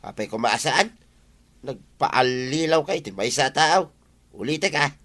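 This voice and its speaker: A man's voice: medium pitch, animated, measured pace, a bit bright. An actor playing a nagging, suspicious village man in an Ilocano radio comedy drama, with an Ilocano accent.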